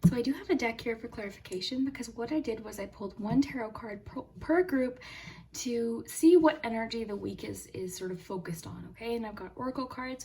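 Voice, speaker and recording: A young woman with a fairly high, mellow voice, a little hoarse from a sore throat, hosting a chatty pick-a-card tarot reading. She speaks in a friendly, soft way and slips into whispers as she lays out the cards. A faint hiss sits under the recording. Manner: friendly and soft-spoken, drops to a whisper at times. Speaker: tarot reader and channel host.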